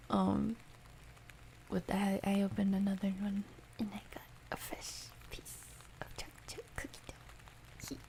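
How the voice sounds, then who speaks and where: A soft-spoken woman hosting an ASMR livestream, chatting casually and gently, often dropping toward a whisper. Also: medium pitch, vocal fry, a little hiss or hum, rough recording. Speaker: livestream host. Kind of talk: ASMR livestream, casual chat reading and answering viewer comments.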